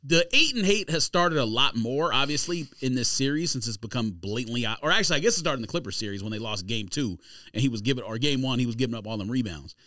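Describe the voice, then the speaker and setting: Male podcast co-host, very lively pitch, argumentative and slangy, casual sports talk in a dry room. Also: quick pace, no echo.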